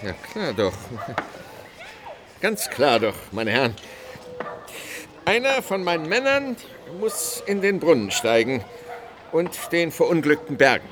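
A man playing a brisk, self-assured fire brigade captain in a children's radio play, commanding and emphatic. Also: fairly high pitch, sing-song, noisy background. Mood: brisk, confident. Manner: commanding, blustering, repeats himself emphatically.

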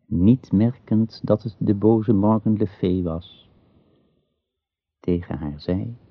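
A man narrating a radio play as a solemn storyteller of legend, in a measured, deliberate, literary manner. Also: on the low side, slightly rough, no room sound, lo-fi sound, exaggerated voice. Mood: grave.

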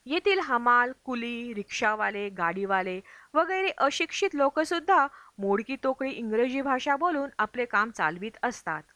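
A woman's voice: on the high side, expressive, normal speed, fairly bright tone, dry recording. A woman reading a travel memoir aloud as scripted audiobook narration. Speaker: audiobook reader.

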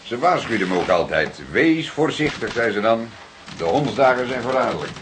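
An actor with a Dutch accent playing a seasoned, fatherly police detective who speaks deliberately and ponderously in a radio play. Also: medium pitch, expressive, close to an everyday voice. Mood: brooding, reflective. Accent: Dutch, from the Netherlands. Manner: deliberate, repeats others' words questioningly. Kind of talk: radio drama acting. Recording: noisy radio play with clicks.

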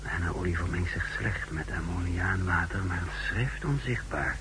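Casting: man playing brooding spy, low voice, measured monologue, noisy vintage radio recording. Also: natural voice. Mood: brooding, calculating. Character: secretive, scornful male spy recounting his mission. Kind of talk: 1960s radio drama monologue and narration.

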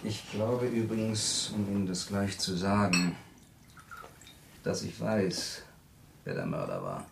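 An actor playing a polite, coolly probing male writer who deliberately provokes, in a German crime radio play. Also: lowish voice, animated, vocal fry, noisy background, exaggerated voice. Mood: calm, probing.

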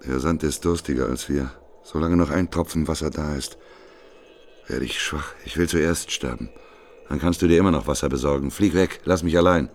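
An actor playing a bitter doomsday prophet in a radio play, declaiming with scorn and sardonic despair, his voice gravelly and husky. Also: very deep voice, moderate pace, slight background noise. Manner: declamatory, sardonic, swinging from hushed to thundering. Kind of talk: literary radio play acting.

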